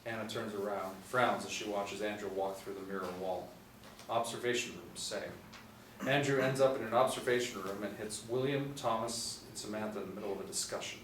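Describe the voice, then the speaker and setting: A young man narrates screenplay directions at a table read. His voice sits slightly low, picked up from a distance in an echoey room. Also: fairly quick, a bit bright. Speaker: narrator reading stage directions. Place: small meeting room, seated in a row. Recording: echoey room, distant mic, faint noise.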